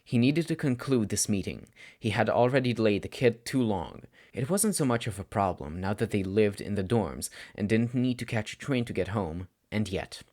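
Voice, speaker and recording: A man's voice: fairly low pitch, lively intonation, normal speed, few pauses, darkish tone. A man reading a scripted story as an audiobook narrator. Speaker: podfic narrator.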